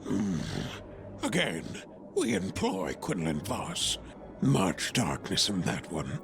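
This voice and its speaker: A man voicing a tiny, ancient, wary alien sage who croaks slowly with inverted word order in a comic dub, over music. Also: on the low side, huge pitch swings, exaggerated voice. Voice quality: creaky, croaking. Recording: loud music under the voice.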